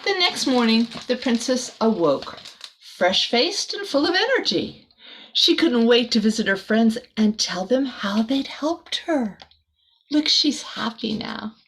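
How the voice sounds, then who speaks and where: A woman with a fairly high voice reads a picture book aloud for a young child. Her delivery is expressive, and she slips in small chatty asides as she goes. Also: a little slow, breathy edge, low-quality recording. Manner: expressive, addressing a young listener. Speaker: storybook reader.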